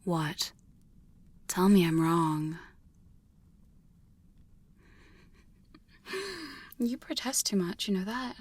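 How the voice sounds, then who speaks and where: A woman acts out a sly vampire huntress for an intimate ASMR roleplay. Her voice is husky and airy, often sinking into a whisper, at an unhurried pace. She sounds defiant and coaxing, taunting her foe right up against the microphone. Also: lowish voice, lively intonation, darkish tone, dry recording. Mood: smug, coaxing. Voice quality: breathy, whispery. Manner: taunting, intimate, drawn-out phrasing with sudden firmer lines. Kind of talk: ASMR hypnosis roleplay, second-person. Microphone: close-up studio condenser and binaural microphone.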